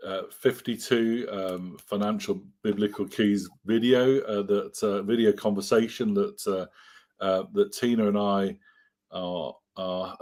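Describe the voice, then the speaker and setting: A man co-hosting a casual faith conversation, speaking haltingly with very many fillers. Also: lowish voice, a little mellow. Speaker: co-host.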